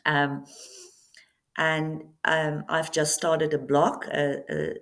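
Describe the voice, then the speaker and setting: Woman talking slow n kinda low, lots of ums, showing off her book to camera. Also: little pitch movement, a bit bright. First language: Afrikaans. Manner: slow, hesitant, with many ums and pauses. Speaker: author presenting her book. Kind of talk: casual talk to camera. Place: Namibian coastal town.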